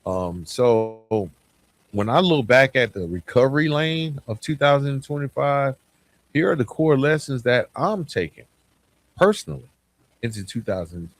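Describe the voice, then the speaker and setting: A man's voice: medium pitch, animated, a little slow. A man hosting a solo podcast in a reflective, conversational and earnest motivational way, repeating phrases for emphasis, close to the microphone. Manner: conversational, frequent rhetorical questions and repetition for emphasis. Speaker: podcast host.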